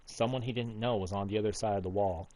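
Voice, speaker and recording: A man's voice: fairly low pitch, steady tone, fast, warm tone. A man narrating a visual novel aloud for a game playthrough.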